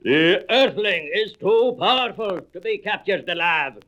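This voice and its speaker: An actor playing an arrogant, boastful alien invader with deliberate speech in a comic book audio drama. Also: male voice, high-pitched, expressive, fairly bright tone. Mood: disbelieving. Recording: dull old record with faint noise, clicks.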